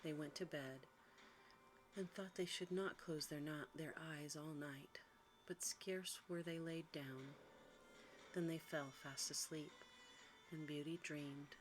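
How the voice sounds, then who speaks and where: Low-pitched woman reading a sleep story. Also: fairly even intonation, slow pace, a little hiss or hum, poor audio. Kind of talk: quiet ASMR sleep-story narration. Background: music.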